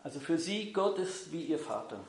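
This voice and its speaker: A man's voice: on the high side, big pitch swings, moderate pace. A man leading a church gathering and interpreting a guest's words, friendly and hesitant, with frequent filler sounds. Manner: friendly, hesitant with frequent ums, switching languages. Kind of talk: church announcement and live interpreting. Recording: echoey hall.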